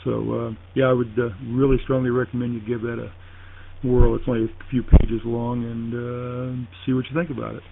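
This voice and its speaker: A man in a casual two-way radio chat, speaking haltingly and deliberately with frequent pauses. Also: mid-range voice, level tone, creaky, a little hiss or hum. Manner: halting, deliberate, pausing often. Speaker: ham radio operator in a contact. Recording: tinny phone-like internet radio link.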